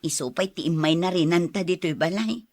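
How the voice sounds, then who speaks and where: A woman's voice: lowish voice, brisk, darkish tone, no echo, studio quality, natural voice. A woman playing a hospitable, homely wife in an Ilocano radio drama. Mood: curious. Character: hospitable, homely wife chatting with her husband.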